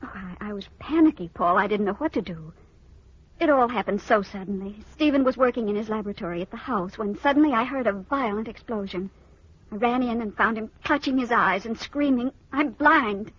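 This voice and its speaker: Casting: uneasy wife in vintage radio play, highish breathy voice, hesitant, on a muffled recording. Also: female voice, fairly quick, no echo. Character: uneasy, placating wife hiding an old romance. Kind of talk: old-time radio drama acting.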